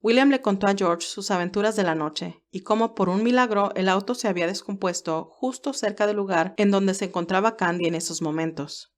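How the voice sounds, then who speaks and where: A woman's voice: medium pitch, a little fast, hardly any pauses. A woman reading scripted story narration as the narrator.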